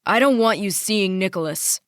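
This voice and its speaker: A woman's voice: medium pitch, normal speed, dry recording, studio quality, close to an everyday voice. A woman voicing a bitter, stern middle-aged father who speaks in a hard, clipped, raised voice.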